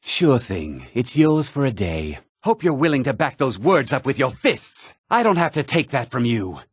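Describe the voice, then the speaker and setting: Casting: condescending fantasy RPG townsman, short-tempered, lively swooping intonation, close dry sound. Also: medium pitch, normal speed, breathy edge, no room sound. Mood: annoyed, threatening. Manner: condescending, curt, sneering. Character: haughty, irritable townsman of a fantasy realm. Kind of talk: fantasy video game character lines.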